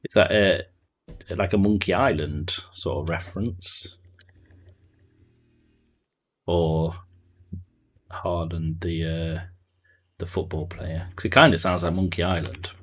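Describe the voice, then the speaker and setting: A man's voice: low-pitched, fairly even intonation, measured pace. A man streaming a building game, thinking aloud in a loose, rambling way and chatting with viewers. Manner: thinks aloud, trailing off and answering chat. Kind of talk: casual live-stream chat while playing.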